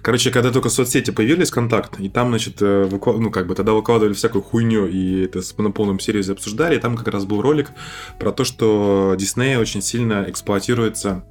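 A man's voice: fairly low pitch, steady tone, quick pace. A man co-hosting a casual podcast, telling things in a rambling, hesitant, slangy way. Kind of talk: casual chat. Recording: music behind the talk. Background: music.

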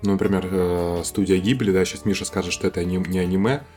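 A man's voice: deep voice, fairly even intonation, fast, a little mellow, soft background music. A man chatting casually as a podcast co-host, often repeating words as he starts his sentences. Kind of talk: casual chat.

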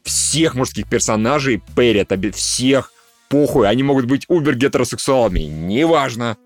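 Lively, opinionated man bantering on a podcast. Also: medium pitch, moderate pace, dry recording. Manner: opinionated, swears casually, teasing. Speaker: podcast co-host. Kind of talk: casual podcast banter about animation. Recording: music behind the talk. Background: music.

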